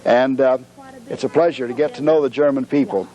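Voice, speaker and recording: An older man with an American accent in casual, halting talk to the camera and his hosts, often repeating words. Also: mid-range voice, steady tone, dark tone, rough recording. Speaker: visiting tourist. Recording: chattering room, home video. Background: people chattering.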